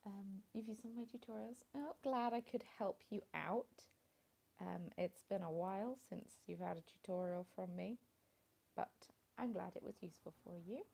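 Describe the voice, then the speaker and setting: A woman's voice: medium pitch, normal speed, gravelly, dark tone, husky. A woman chatting casually on a home live stream, rambling and greeting viewers, into a phone with a small plug-in mic. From England. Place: at home in the evening, dim light.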